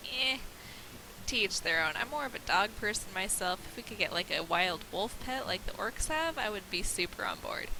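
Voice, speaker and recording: Nasal woman, mid pitch, slight cold; rambling, self-mocking let's play host; noisy desk-mic recording. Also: expressive, normal speed. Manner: chatty, self-deprecating, rambling. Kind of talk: casual gameplay commentary.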